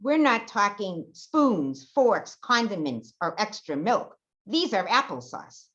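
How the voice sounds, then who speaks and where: A woman's voice: medium pitch, big pitch swings, slow, fairly bright tone. Indignant kitchen manager, played by a woman, dull lo-fi sound. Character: indignant senior-center kitchen manager, proud, accusing woman. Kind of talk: amateur old-time radio theater acting. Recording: dull lo-fi audio.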